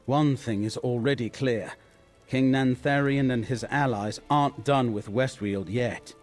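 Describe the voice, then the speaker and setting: A man plays an earnest scholar and investigator in a fantasy game. His mid-pitched voice is thoughtful and deliberate, laying things out as he goes. Music plays behind him. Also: a little mellow, lightly acted. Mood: earnest, pondering. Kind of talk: scripted fantasy video game dialogue.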